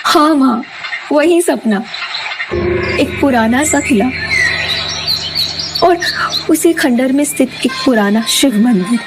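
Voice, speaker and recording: A woman's voice: high-pitched, expressive, slow, long pauses, over loud music, heavily acted. A woman playing an earnest, polite teenage daughter in an audio drama. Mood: troubled.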